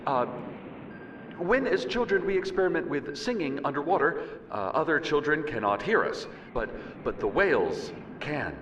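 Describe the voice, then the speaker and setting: An actor playing an earnest, hesitant professor lecturing over a remote radio broadcast with a stammering delivery. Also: male voice, fairly high pitch, sing-song, fairly bright tone. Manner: halting, stammering. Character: earnest, hesitant male professor giving a scientific demonstration. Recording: distant, noisy, reverberant remote broadcast.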